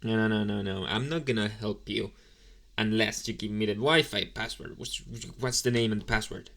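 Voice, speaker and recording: A man playing an inexperienced, sleepy young demon in an ASMR roleplay, talking casually to the listener with halting, deadpan, mildly snarky asides. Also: fairly low pitch, gravelly. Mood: blasé, grumbling.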